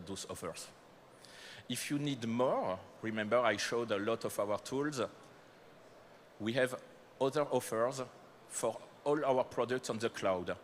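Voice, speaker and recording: Man, French accent, lowish voice, conference talk, hesitant with fillers, faint room ambience. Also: fairly bright tone, slight background noise. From France. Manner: hesitant, many fillers and self-corrections. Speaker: corporate speaker presenting startup programs. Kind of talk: conference presentation. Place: conference hall.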